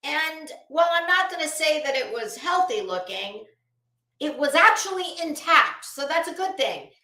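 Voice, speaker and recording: A middle-aged woman with a fairly high, very bright voice chats casually to her livestream viewers. She rambles in a gossipy, sarcastic manner, and the room around her is noticeably echoey. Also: big pitch swings, normal speed. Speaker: livestream host. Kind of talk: casual livestream commentary chat.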